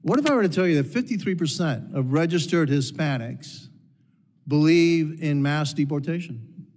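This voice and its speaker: A man's voice: fairly high pitch, lively intonation, normal speed, darkish tone. A man from Cuba, a congressman pressing a witness with repeated pointed questions at a hearing. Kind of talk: heated committee hearing questioning. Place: large hearing room. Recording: long reverb of a hearing room.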